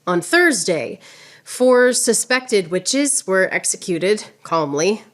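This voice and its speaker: A woman's voice: mid-range voice, lively intonation, slow, a bit bright. A woman playing a proud, witty, wounded swordswoman poet in radio theater, with a lofty, theatrical delivery. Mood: wry.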